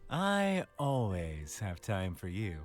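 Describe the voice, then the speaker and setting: A man's voice: on the low side, huge pitch swings, slow, exaggerated voice. A man playing a flirtatious, playful fantasy love interest in a visual novel game. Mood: playful teasing. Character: smooth-talking, teasing fantasy love interest in a dating game. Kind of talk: visual novel character acting. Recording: music under the voice.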